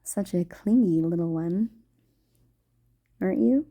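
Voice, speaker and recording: A woman playing a gentle, playful ghost girlfriend in an intimate romantic audio roleplay, speaking softly and formally with halting hesitations. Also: on the low side. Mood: tender, playful. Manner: soft, hesitant, formal wording with halting stammers. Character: gentle playful ghost girlfriend, shy, tender, old-fashioned speech.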